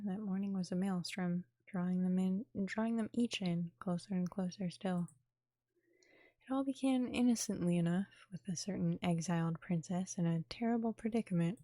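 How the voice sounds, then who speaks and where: A woman game streamer with an informal, expressive manner, commenting casually and reading a visual novel's narration aloud. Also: medium pitch, no room sound. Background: game music.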